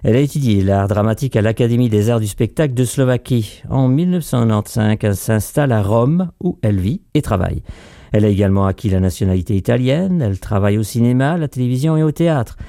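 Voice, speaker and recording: A man presenting a scripted radio feature, reading in a measured, formal way. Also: medium pitch, animated, warm tone, no echo, studio quality.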